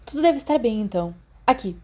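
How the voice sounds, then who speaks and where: A woman's voice: on the high side, expressive, fast talker, darkish tone, pitched above the performer's own voice. A woman voicing an anxious, jittery schoolboy in an audiobook. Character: anxious, fretful schoolboy friend.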